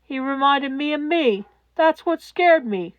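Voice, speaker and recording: A woman voicing a guilt-ridden, shaken, weary man in a fan-fiction reading, halting and defensive. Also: high voice, lively intonation, dry recording, phone-quality sound. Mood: guilty, defensive. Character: guilt-ridden, shaken middle-aged man, retired-hero weariness.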